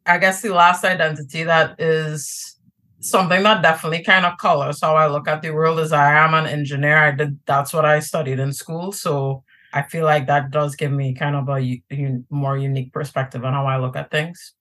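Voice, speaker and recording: Woman, Trinidadian accent, bright voice, chatty, loose and rambling, podcast guest. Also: low voice, flat delivery, normal speed. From Trinidad and Tobago. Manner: chatty, many fillers and restarts, laughs easily. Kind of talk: casual interview talk.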